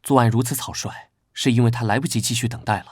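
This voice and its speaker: An actor playing a serious, easily exasperated young male investigator in an animated drama, blunt and no-nonsense. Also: medium pitch, fast talker, husky, no room sound, natural voice. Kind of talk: Chinese animated drama dubbing.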